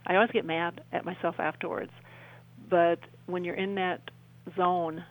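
A middle-aged woman giving a conversational personal account as an interview guest, often halting and restarting her phrases. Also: fairly low pitch, level tone, no room sound, poor audio.

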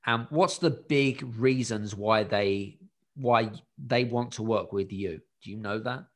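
A man's voice: fairly low pitch, a little slow. A man hosting a coaching session, upbeat, asking direct questions. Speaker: business coach and podcast host. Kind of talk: coaching conversation.

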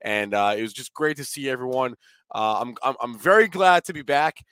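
Male host, hoarse, upbeat and chatty, lots of restarts, dry close sound. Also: medium pitch, fairly quick, a bit bright, no room sound. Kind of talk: casual live show talk.

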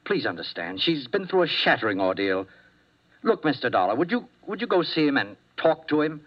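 Worried doctor in an old fifties radio play, pleading and hesitant, dull muffled sound. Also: male voice, a little high, sing-song, brisk. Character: anxious, earnest male doctor urging caution. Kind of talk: 1950s radio drama acting.

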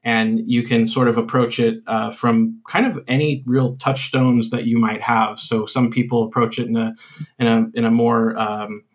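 A man's voice: mid-range voice, flat delivery, moderate pace. Guy designing games, average voice, lots of uh and um while he explains. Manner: rambling, full of uhs and restarts. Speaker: game designer guest. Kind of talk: casual interview chat.

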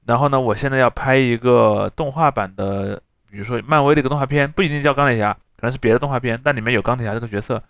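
A man talks casually as a podcast host, laying out examples as he goes. His pitch sits in the middle and his pace is brisk. The sound is dry, with very little room in it. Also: a bit bright, no room sound. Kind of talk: casual chat, explaining with examples.

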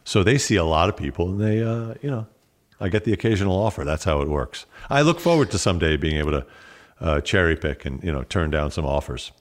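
A man with a low, animated voice speaks as a guest in an interview. He sounds reflective and wry, with a self-deprecating touch, in an easy conversational style. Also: fast. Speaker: guest, actor and standup comedian.

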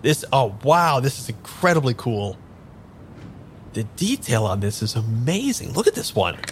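An actor playing an eager, pushy man without his memory in a naturalistic improvised audio drama, halting and repeating himself. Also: medium pitch, big pitch swings, slight background noise. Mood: excited wonder. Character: eager amnesiac man, pushy, boyishly enthusiastic tarot querent.

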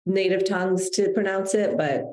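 A woman's voice: medium pitch, fairly even intonation, normal speed, a little mellow, reverberant. A woman in her thirties, a guest on a podcast interview, talking in a reflective, heartfelt way with frequent restarts. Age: 30s. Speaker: guest, publicist.

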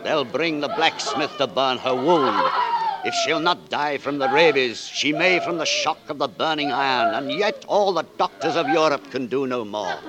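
A male actor in a 1940s radio drama playing a courteous, grave, concerned gentleman doctor. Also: mid-range voice, very animated, normal speed, natural voice. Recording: very noisy old radio, loud street crowd.

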